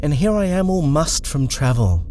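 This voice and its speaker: A man's voice: on the high side, very animated, normal speed, dark tone, a little hiss or hum, pitched above the performer's own voice. A man doing a nervous young woman. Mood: anxious. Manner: polite, hesitant, edgy.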